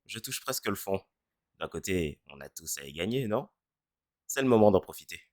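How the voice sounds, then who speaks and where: Give me a gloomy, self-mocking teenage boy with a very deep, flat delivery, voiced by a fan dubber. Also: moderate pace, no room sound, clean studio sound. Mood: wry. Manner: deadpan, self-deprecating. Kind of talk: French fan dub. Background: faint music.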